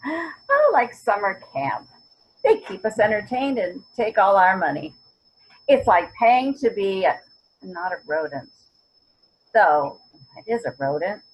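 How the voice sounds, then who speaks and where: Actress as husky, stubborn elderly mother. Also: medium pitch, very animated, slow, pauses often, dull audio, heavily acted. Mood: sardonic. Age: older. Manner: word-searching, emphatic, wry. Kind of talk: virtual readers theater acting.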